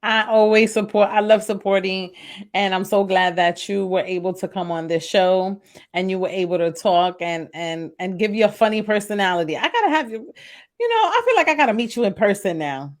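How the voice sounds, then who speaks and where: A woman's voice: mid-range voice, fairly quick. A woman hosting a podcast, chatting casually with her guest and encouraging her, laughing along. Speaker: podcast host. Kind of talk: casual interview chat.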